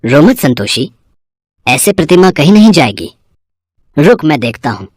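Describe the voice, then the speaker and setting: An actor playing a kindly, concerned, devout father in a cartoon moral story, gentle and expressive. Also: fairly high pitch, moderate pace, dry recording, studio quality.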